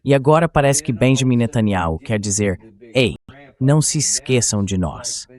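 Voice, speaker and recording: A man's voice: mid-range voice, animated, normal speed, darkish tone. Synthetic male interview host. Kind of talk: translated interview dub, conversational questioning.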